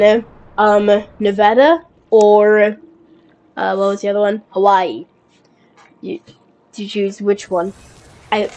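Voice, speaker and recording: A woman with a cold and a stuffy, blocked nose talks her way through a park-building game in an easy, offhand way. Her sentences start and stop, full of fillers and self-corrections, with small excited lifts when something cute appears. Her pitch sits right in the middle. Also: low-quality recording. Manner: halting, with many fillers and restarts. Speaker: gameplay video creator. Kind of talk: casual gameplay commentary.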